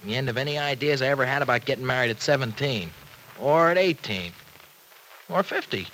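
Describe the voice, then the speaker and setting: An actor playing a plain-spoken American guy in an old radio drama, rough around the edges but soft at heart. He talks in slang and hesitates as he goes. The man's mid-pitched voice comes through light vintage recording noise. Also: animated, fairly quick, close to an everyday voice, rough recording. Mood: earnest, regretful.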